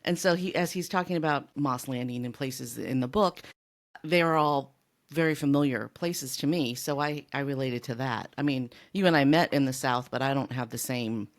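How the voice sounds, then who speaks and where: American lady w a low voice, casual book podcast host chatting away. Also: moderate pace. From California, US.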